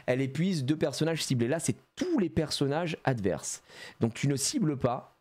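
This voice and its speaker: A man's voice: a little high, moderate pace. A man chatting casually on a live stream as he opens trading card packs, joking around and laughing often. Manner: animated and jokey, laughs often, plays on words.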